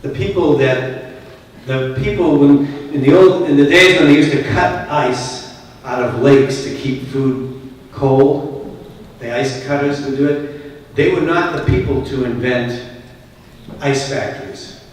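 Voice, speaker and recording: A man's voice: mid-range voice, measured pace, far from the mic. Boston man speaking in an echoey hall. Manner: conversational, emphatic, asks the audience questions. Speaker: conference keynote speaker. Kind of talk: conference keynote speech. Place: large conference hall.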